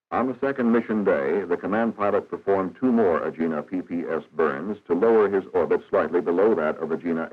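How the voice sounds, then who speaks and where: Male documentary narrator, very deep and measured, 1960s educational style, narrow lo-fi vintage film sound. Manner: formal, measured, matter-of-fact. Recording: dull, band-limited 1960s film soundtrack.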